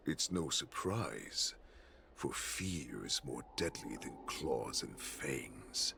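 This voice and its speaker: A man playing a cruel, imperious wolf warlord in a video game, slow and taunting in his menace. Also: rumbling low, sharp tone, a little hiss or hum, full character voice. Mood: cold, knowing. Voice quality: gravelly. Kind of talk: dramatic video game voice acting.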